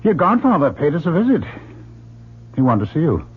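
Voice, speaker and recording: A man's voice: on the high side, very animated, fairly quick, breathy edge, exaggerated voice. An actor playing a dry, skeptical middle-aged father in an old-time radio drama, wry and probing. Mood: curious. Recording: dull, lightly noisy old radio recording.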